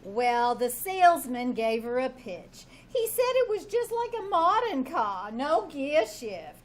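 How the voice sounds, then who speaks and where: A woman's voice: sits high, sing-song, takes it slowly, exaggerated voice. A woman playing a brassy, sardonic, wisecracking maid in a live old-time radio comedy. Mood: breezy joking. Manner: wisecracking, slangy delivery.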